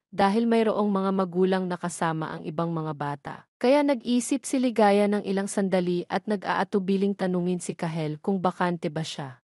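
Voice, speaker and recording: A woman's voice: lowish voice, darkish tone, dry recording. Woman narrating a scripted story, dry sound. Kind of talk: scripted audio drama narration.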